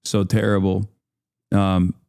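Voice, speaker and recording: A man hosting a casual interview, talking in a relaxed, slangy way with lots of fillers. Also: low-pitched, slow, creaky, clean tone. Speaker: host. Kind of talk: casual interview over a call.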